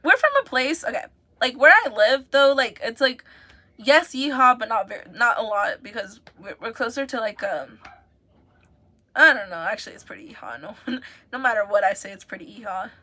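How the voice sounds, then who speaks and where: A woman's voice: on the high side, animated, normal speed, sharp tone. A young woman from the United States, chatting casually and giggling as she rambles to viewers on a livestream.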